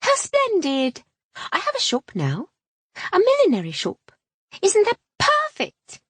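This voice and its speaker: A woman reading an audiobook, voicing an effusive young milliner who chatters in a high, sing-song voice. The recording is dry. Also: huge pitch swings, unhurried, fairly bright tone, no echo, full character voice. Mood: gushing.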